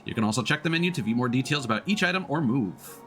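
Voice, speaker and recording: Animated man streaming a video game. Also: medium pitch, quick pace. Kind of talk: casual gaming commentary, reading on-screen text aloud. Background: game music.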